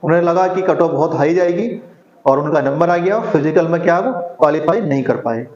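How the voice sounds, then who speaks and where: High-pitched man explaining, echoey room. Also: fairly quick, darkish tone. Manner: repetitive, punctuates with rhetorical questions. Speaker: exam coaching teacher. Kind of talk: informal explainer addressed to students. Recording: muffled audio in echoey room.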